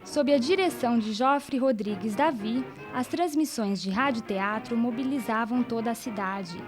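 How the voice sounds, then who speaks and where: A woman with a Brazilian accent narrates a documentary from a script. Her voice sits a little high, with faint music playing behind it. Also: moderate pace.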